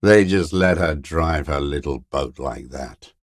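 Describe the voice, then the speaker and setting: An actor playing a bitter, weary old communist deserter in a video game, gravelly and raspy, cursing with contempt in a slow mutter. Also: male voice, extremely low pitch, dry recording, studio quality, exaggerated voice. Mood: bitter despair. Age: older. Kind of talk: dramatic video game character acting.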